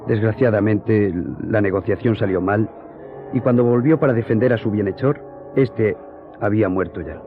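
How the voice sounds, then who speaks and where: Actor as earnest friend, lowish tinny voice. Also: male voice, music in the background, natural voice. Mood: grave, earnest. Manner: formal, measured storytelling. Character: earnest male friend recounting a hearing. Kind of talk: radio drama storytelling. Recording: tinny, narrow-band audio.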